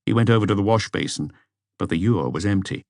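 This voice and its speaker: A man's voice: lowish voice, fast, vocal fry, no room sound, studio quality. A man reading a mystery novel as scripted audiobook narration.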